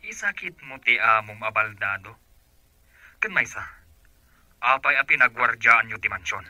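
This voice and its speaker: A man's voice: medium pitch, lively intonation, moderate pace, pushed and bright, no room sound. An actor with an Ilocano accent playing a suspicious, taunting man on a call in a radio drama, questioning confrontationally. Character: suspicious, taunting male relative phoning the boss's house.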